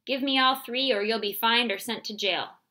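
A woman's voice: fairly high pitch, moderate pace, sharp tone. A woman voicing a stern, impatient male government agent in a read-aloud story, speaking in curt commands.

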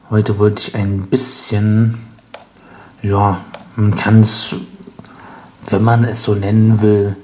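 A man's voice: on the low side, a little mellow, slight background noise. A man with a cold, sounding stuffy, rambling wryly as he hosts a casual gameplay commentary. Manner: rambling, self-mocking, wry. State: congested with a cold. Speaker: let's play host.

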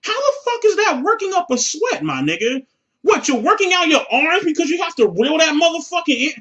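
Male comedic ranter, bright forceful delivery, big pitch swings, emphatic and incredulous. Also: big pitch swings, a little fast. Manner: emphatic, incredulous, ranting with exclamations. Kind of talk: profane comedic rant.

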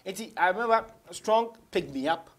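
Young Ghanaian male rapper as talk-show guest, rough-edged voice, conversational, code-switching. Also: high voice, animated, moderate pace. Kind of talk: casual interview chat, mixing English and Twi. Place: small indoor radio studio.

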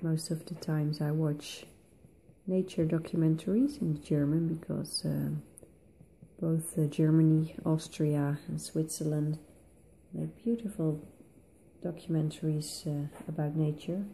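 A woman with a Dutch accent, a native Dutch speaker, talking slowly and thoughtfully with many pauses while colouring in an art tutorial. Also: sits low, flat delivery, gravelly, warm tone, slight background noise, rough recording. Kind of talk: relaxed voice-over while colouring.

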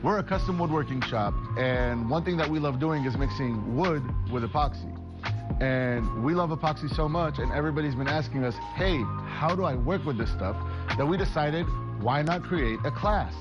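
A man's voice: fairly high pitch, expressive, moderate pace, lo-fi sound. A man in his forties who owns a woodworking shop, talking to camera in an upbeat, chatty, joking way about his classes, recorded indoors in his workshop on a lapel mic. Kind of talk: promotional interview to camera. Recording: music bed loud underneath.

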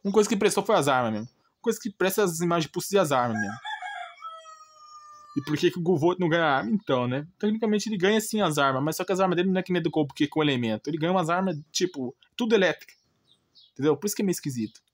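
Higher-voiced Brazilian man ranting informally. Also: expressive, racing. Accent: Brazilian Portuguese. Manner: exasperated, blunt, repetitive with rhetorical questions. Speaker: gaming channel host. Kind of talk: informal opinionated rant.

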